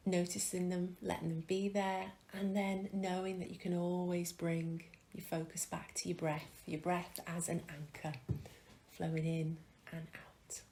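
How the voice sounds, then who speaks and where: A woman leading a guided mindfulness meditation, speaking calmly and gently with long pauses and soft, breathy phrase endings. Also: fairly low pitch. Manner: calm, gentle, unhurried with pauses. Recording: faint background noise.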